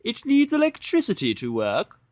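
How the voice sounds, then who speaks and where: A man voicing a prim, fussy, theatrical female pony fashionista in a fan fiction audio drama, with a haughty, drawn-out, dramatic delivery. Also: sits high, huge pitch swings, dry recording, pitched above the performer's own voice. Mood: curious, coaxing.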